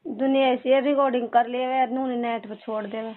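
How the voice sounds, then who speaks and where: Woman w mewati accent, pretty high voice, chatting rustic and casual, thin phone audio. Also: steady tone, a little fast. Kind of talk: casual private phone chat. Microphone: phone call recording. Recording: tinny narrow phone line.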